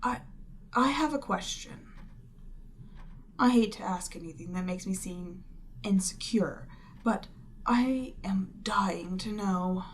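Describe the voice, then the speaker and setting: Woman playing a demon queen, proud, seductive, haughty, unhurried delivery, breathy near-whispers, ASMR roleplay, noticeable background noise. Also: mid-range voice, lightly acted. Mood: hesitant, curious. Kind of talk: scripted ASMR roleplay addressed to the listener.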